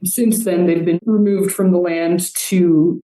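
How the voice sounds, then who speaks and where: A woman's voice: lowish voice, fairly even intonation, a little slow, dark tone. A woman speaking as a local history expert, telling things conversationally as an interview guest. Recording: echoey room, distant from microphone.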